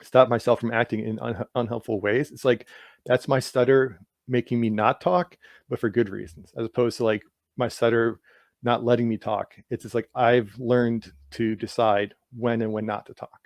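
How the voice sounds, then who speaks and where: A man's voice: mid-range voice, level tone, moderate pace, no echo, studio quality. A man who stutters, answering interview questions casually with many repeated sounds and frequent "like" fillers. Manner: stutters with sound and word repetitions; heavy use of "like" as filler. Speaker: guest author.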